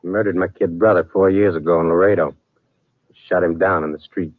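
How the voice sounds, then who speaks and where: Low-voiced, grim cowboy drifter. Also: male voice, brisk, no echo, narrow, tinny audio. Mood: coldly vengeful. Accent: American. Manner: terse, deadpan, rustic Western speech. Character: grim, vengeful male cowboy drifter, coolly menacing. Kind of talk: 1950s radio western acting. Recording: thin, dry old radio recording.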